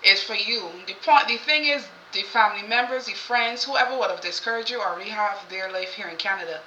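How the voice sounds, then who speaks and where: A woman's voice: medium pitch, fairly quick, sharp tone, a little hiss or hum. A woman from Barbados with a Barbadian accent, chatting as co-host and giving firm, emphatic advice. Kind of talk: casual chat. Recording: roomy, slightly dull sound.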